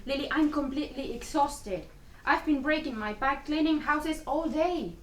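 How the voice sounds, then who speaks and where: A woman's voice: sits high, moderate pace, natural voice. A woman playing a weary, wounded and guarded Cuban immigrant mother in a dramatic play reading, exasperated and pleading. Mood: weary, stern. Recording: distant mic, faint room noise.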